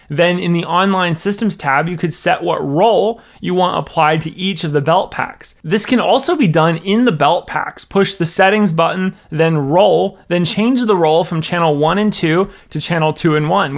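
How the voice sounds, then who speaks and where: A man's voice: on the high side, vocal fry. A man with an American accent presenting a scripted product review in an instructional, methodical way. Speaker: presenter, church production director.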